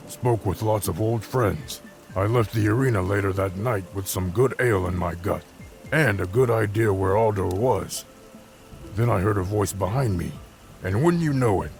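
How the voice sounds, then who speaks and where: A man voicing a gruff dwarf ex-gladiator recounting adventures in a gravelly voice, in a fantasy audio story. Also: lowish voice, moderate pace, a little mellow, faint music behind. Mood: storytelling.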